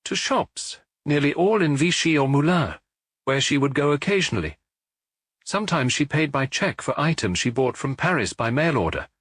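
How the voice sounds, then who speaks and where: A man reading an audiobook, voicing a middle-aged male police officer who gives his report in a measured, matter-of-fact way. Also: fairly high pitch, expressive, a bit bright, breathy edge, no echo, clean studio sound, voice pushed up. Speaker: audiobook reader.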